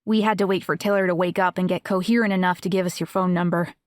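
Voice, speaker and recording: A woman's voice: on the low side, fairly even intonation, fast, no echo, clean studio sound, natural voice. A young woman playing a self-assured, talkative friend who reassures a worried parent in a fluent, matter-of-fact way in an audiobook. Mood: earnest. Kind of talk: audiobook dialogue acting.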